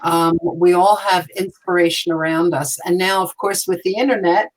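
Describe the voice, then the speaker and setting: A woman on a panel over a video call, answering in a meandering, hesitant way with frequent pauses. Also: lowish voice, steady tone, low-quality recording. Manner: meandering, hesitant, many ums. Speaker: mosaic artist and panelist. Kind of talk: casual panel talk.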